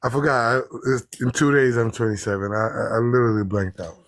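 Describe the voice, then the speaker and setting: A man's voice: medium pitch, fast, no echo. A man in his twenties calling in to a debate stream, polite and easygoing. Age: 20s. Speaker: caller. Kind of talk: casual call-in chat.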